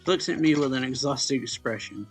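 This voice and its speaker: Man, casual read-aloud narration, mid-pitched, some vocal fry, informal delivery, music in the background. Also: moderate pace. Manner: informal, stumbles and corrects misreadings. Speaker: let's play host reading a visual novel aloud.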